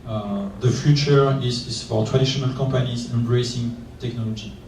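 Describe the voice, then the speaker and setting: A man's voice: medium pitch, moderate pace, recorded from a distance, a little hiss or hum. Hesitant male panelist in an echoey room. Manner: hesitant, repeats words, monotone. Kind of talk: panel discussion. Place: conference room.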